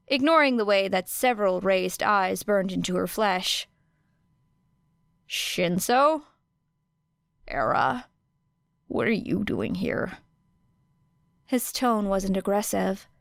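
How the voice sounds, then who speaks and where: A woman reading fan fiction aloud as an audiobook, in a measured storytelling manner. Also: mid-range voice, a bit bright, clear, resonant tone, no room sound, clean studio sound. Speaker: podfic narrator.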